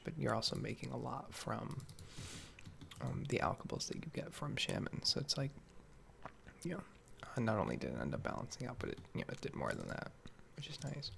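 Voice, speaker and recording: A man giving a casual, soft-spoken ASMR ramble over gameplay, hesitant and understated as he meanders from thought to thought. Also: mid-range voice, gravelly. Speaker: gaming video creator. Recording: faint background noise, keyboard typing, clicking. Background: soft computer keyboard typing and mouse clicks.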